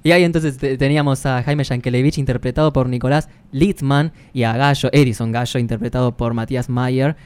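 A woman's voice: rumbling low, steady tone, a little fast, hardly any pauses, darkish tone, clean tone. A woman explaining things casually as a podcast co-host.

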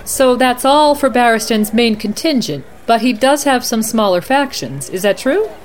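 Woman acting female radio anchor, animated pitch, formal questioning manner, composed, fantasy news radio play, faint background noise. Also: normal speed, natural voice. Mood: businesslike. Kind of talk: radio news broadcast roleplay.

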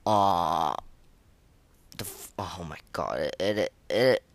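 A podcast host giving casual gaming commentary and ratings in a halting, repetitive way, full of slangy enthusiasm. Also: deep voice, lively intonation, dry recording.

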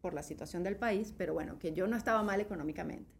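A woman's voice: lowish voice, fast, creaky. A middle-aged woman with a Venezuelan accent, telling personal stories in a casual interview, emphatic and often checking that the listener follows. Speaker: podcast guest.

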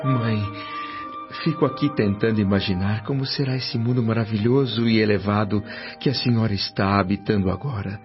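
A man's voice: on the low side, normal speed, lightly acted. A Brazilian man acting an emotional, earnest grown son in the spirit world, with formal, dramatic radio drama delivery. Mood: reverent, curious. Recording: dull lo-fi audio under music.

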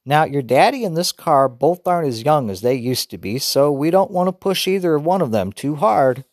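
Male reader putting on a methodical mother, higher and very animated, with a dry close sound. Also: no room sound. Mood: planning. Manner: brisk, careful, list-like planning. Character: organized mother reading out a trip plan.

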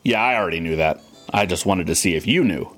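A man's voice: sits low, animated, fast talker, fairly bright tone. A man playing an opinionated, impatient sci-fi geek in a comedic audio drama, dry and brusque. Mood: deadpan. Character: know-it-all male Star Wars geek, impatient. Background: music.

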